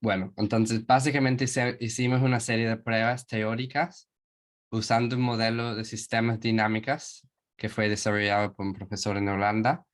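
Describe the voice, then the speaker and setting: A man's voice: fairly low pitch, level tone, normal speed. A man from England with an English accent presenting a webinar in a second language, hesitant and searching for words.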